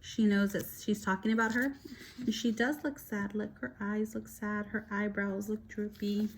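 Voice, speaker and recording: A woman teacher reading a picture book aloud to children, expressive, pausing to explain and ask questions. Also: medium pitch, a little hiss or hum, poor audio.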